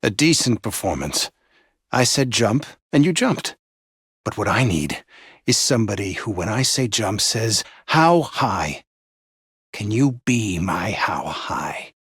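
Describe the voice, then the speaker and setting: An actor playing an intense, manipulative mentor, theatrical and condescending, with a husky voice, in dramatic villain voicelines. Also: male voice, lowish voice, very animated, slow, fairly bright tone, no echo, clean studio sound, full character voice. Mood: commanding, provoking. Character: intense, demanding male mentor, manipulative and passive-aggressive.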